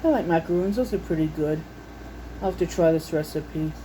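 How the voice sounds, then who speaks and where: A woman with a lowish, soft-edged, mellow voice talks casually as she browses and reads items aloud. She muses to herself in a homey, folksy way. She is recorded indoors with a faint steady room hum behind her. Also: a little fast, rough recording. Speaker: vlogger showing a recipe book. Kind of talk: casual unscripted chat while browsing and reading out recipes. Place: indoors at home.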